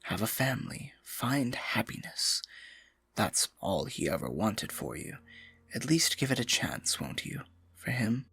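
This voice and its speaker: A man's voice: on the low side, big pitch swings, measured pace, creaky, a bit bright, husky, in a whisper, faint music behind, full character voice. A man playing a scheming royal advisor in a fantasy audio roleplay, silky, condescending and falsely sympathetic, often dropping to a murmur. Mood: patronizing, soothing. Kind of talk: fantasy ASMR roleplay acting, intimate and theatrical.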